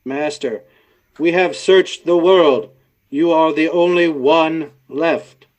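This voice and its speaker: A male actor playing a solemn servant robot in a radio drama, speaking formally and deliberately. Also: a little high, rough recording. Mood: grave. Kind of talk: old-time radio drama acting.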